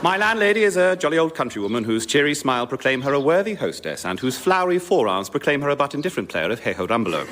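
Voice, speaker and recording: An actor playing a genteel British nephew narrating a florid letter in a prim manner, in radio sketch comedy. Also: male voice, mid-range voice, close to an everyday voice. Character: genteel holidaying nephew reading out his letter. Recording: noisy live audience recording.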